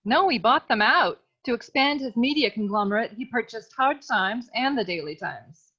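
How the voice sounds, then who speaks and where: A woman's voice: a little high, animated, moderate pace, bright, forceful tone, husky, heavily acted. A woman acting a melodramatic young damsel client in a comic noir radio serial, earnest and sweet, on a slightly lo-fi recording. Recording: slightly dull, lo-fi microphone.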